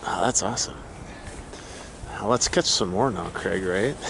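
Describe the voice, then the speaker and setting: A man chatting casually and excitedly as he films an outdoor fishing vlog on a camera microphone. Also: lowish voice, unhurried, vocal fry, a crowd in the background. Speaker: fishing vlogger behind the camera. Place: outdoors on a snowy waterfront pier. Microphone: handheld camera microphone. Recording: noisy outdoor camera audio.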